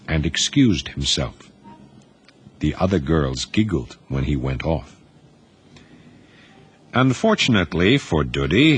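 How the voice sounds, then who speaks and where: A man narrating an audio drama in expressive, scripted storytelling. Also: sits low, slightly rough.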